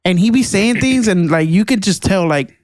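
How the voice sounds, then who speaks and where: A young man co-hosting a casual podcast chat, excitable and slangy, calling people "bro" a lot. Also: sits high, moderate pace, no echo, clean studio sound. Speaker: podcast co-host.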